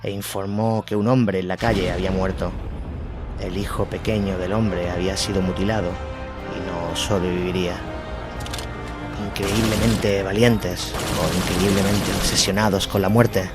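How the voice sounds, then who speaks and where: A man's voice: sits low, fairly even intonation, normal speed. A man reading a scripted war story as its narrator. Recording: loud music behind the voice.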